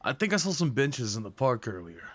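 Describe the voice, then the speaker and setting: A man acting a big, sweet, slightly nervous date in an ASMR roleplay, with playful teasing, boyish enthusiasm and soft hesitations. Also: mid-range voice, big pitch swings, a little fast, breathy edge. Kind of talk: ASMR roleplay audio drama, one-sided conversation.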